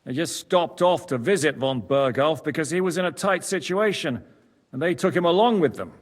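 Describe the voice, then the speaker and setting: Blunt medieval ex-bandit, reverberant. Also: male voice, on the high side, expressive, normal speed. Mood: wry. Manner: frank, sardonic. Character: blunt, wry former bandit in medieval Europe. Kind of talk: dramatic video game cutscene acting. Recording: long hall reverb.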